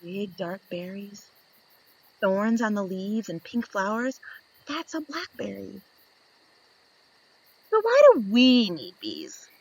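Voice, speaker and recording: A woman reads a children's picture book aloud, taking her time over each page. Her voice swoops up and down with lively expression. She plays with the story and keeps asking her young listeners questions. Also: mid-range voice, slow pace, long pauses, slightly rough, no room sound, rough recording.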